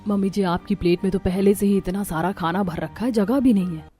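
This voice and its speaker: A woman's voice: mid-range voice, a little fast, dark tone, faint music behind, natural voice. Woman acting an exasperated young daughter-in-law. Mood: dutiful. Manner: huffy, complaining. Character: exasperated, overworked young daughter-in-law, dutiful but resentful. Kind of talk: Hindi cartoon story dialogue.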